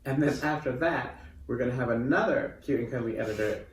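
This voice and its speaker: A woman's voice: rumbling low, fairly quick. A woman co-host in casual banter with her fellow host. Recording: roomy, distant mic with noise.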